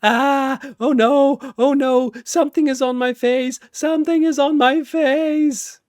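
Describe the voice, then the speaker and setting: A man's voice: very high-pitched, fairly even intonation, slow, darkish tone, no room sound, studio quality, pitched above the performer's own voice. A man voicing a small, terrified masked creature, screaming in frantic panic. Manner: screaming, repetitive panicked cries.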